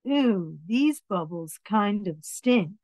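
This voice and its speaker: A woman reading children's picture books aloud in an expressive way, adding asides about the pictures. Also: medium pitch, slow, no echo. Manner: expressive, acts out words and dialogue.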